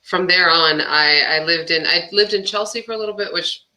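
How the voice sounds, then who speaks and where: A very bright, sharp-toned young woman talks freely as a guest, filling her sentences with "like" and laughing easily. She was born in Albania and grew up near Boston, and her casual chat runs loose and conversational. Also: on the low side, brisk, rough recording. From born in Albania, raised near Boston. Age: young adult. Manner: chatty, fillers of "like", laughs often. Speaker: musician guest.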